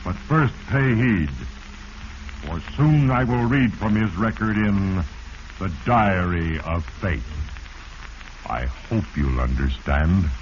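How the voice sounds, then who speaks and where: Ominous, slow, low-voiced Fate. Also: male voice, lively intonation. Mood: ominous, solemn. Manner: ominous, portentous, theatrical. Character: Fate, solemn all-knowing narrator reading men's doomed lives. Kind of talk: 1940s radio drama narration. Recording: muffled, hissy 1940s radio recording.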